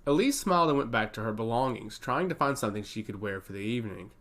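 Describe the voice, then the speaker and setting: A man's voice: medium pitch, lively intonation, quick pace, fairly bright tone. A man reading scripted fantasy fiction aloud as a storyteller. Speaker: audiobook narrator.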